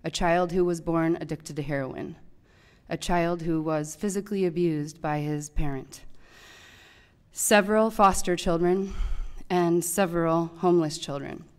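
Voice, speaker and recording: A woman's voice: on the low side, steady tone, a little slow, clean tone. A woman in her thirties, a teacher reading a prepared public comment at a podium microphone in a large meeting hall, earnest and pleading. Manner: earnest, pleading, rising to loud emphasis.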